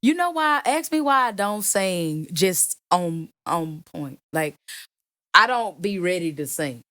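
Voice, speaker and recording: Woman, singer-songwriter guest; deep voice, emphatic and playful, dry close recording. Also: slow pace, no echo. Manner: animated, emphatic, playful teasing. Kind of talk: casual interview chat.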